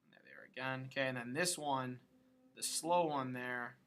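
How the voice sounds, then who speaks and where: A man with a gravelly, bright voice at a medium pitch talks through a software tutorial. He is informal and thinks aloud, muttering to himself between steps. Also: normal speed. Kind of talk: casual screen-recorded tutorial, thinking aloud while working.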